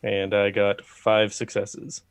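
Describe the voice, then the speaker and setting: A man's voice: fairly low pitch, monotone, slow pace, smooth. A man chatting casually as a player in a tabletop roleplaying game.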